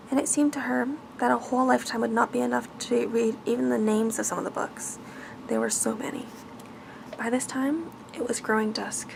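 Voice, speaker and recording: A woman's voice: a little high, vocal fry, background noise. A woman reading a bedtime fairy tale aloud as ASMR narration. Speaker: ASMR storyteller.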